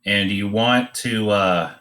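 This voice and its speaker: A man giving an informal, unscripted drawing demonstration, thinking aloud in a halting way as he teaches. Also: lowish voice, pushed and bright.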